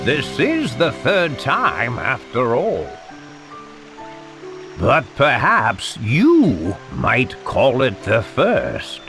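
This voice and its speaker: A man voicing a feeble, kindly old sage in a video game, with creaky, theatrical, old-fashioned speech. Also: mid-range voice, wildly swooping pitch, extremely slow, exaggerated voice. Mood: pensive, gentle. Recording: music under the voice.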